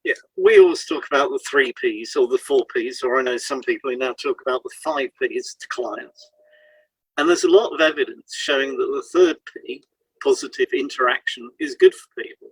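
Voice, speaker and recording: A man's voice: medium pitch, normal speed, a bit bright, husky, no echo, poor audio. A man reading a scripted informational piece as a podcast host, in an explanatory way.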